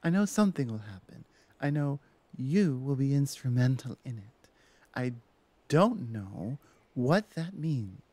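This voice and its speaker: Woman acting a playful orc shaman, low mellow voice. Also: very animated, a little slow, husky, no echo, exaggerated voice. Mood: thoughtful, reassuring. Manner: chatty, playful, hesitant asides. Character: teasing, devoted female orc shaman. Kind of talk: ASMR roleplay, intimate one-sided conversation.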